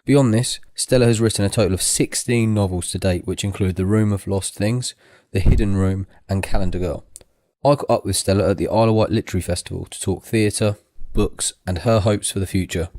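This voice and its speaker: A man interviewing an author, opening with a measured, formal introduction. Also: lowish voice, fairly even intonation, mellow, dry recording. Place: literary festival.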